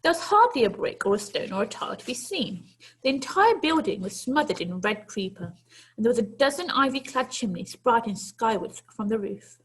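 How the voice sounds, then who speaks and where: A woman's voice: on the high side, big pitch swings, normal speed, husky, poor audio. A woman teacher reading a children's story aloud to pupils, expressively and with dramatic, emphatic phrasing.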